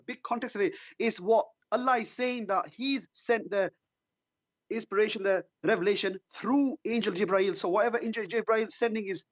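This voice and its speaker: Male debate caller, high husky voice, halting and repetitive, informal defensive delivery. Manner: halting, stammering, repeats words.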